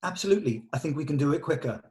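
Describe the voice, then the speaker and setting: Measured male director giving notes. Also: fairly high pitch, expressive, a little mellow. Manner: measured, diplomatic, explanatory. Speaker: director leading a script table read. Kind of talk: giving notes to actors and writer.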